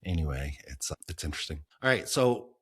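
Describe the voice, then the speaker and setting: A middle-aged man hosting a travel podcast, relaxed and self-deprecating, laughing easily as he chats. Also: extremely low pitch, fairly quick, husky. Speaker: podcast host. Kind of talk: casual interview conversation.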